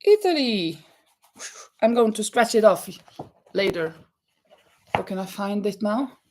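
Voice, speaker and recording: A woman's voice: medium pitch, lively intonation, measured pace, darkish tone. A woman from Norway hosting a crochet livestream from her home craft room, reading out viewer comments and counting stitches aloud with easy laughs. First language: Norwegian. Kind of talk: casual live chat while crocheting.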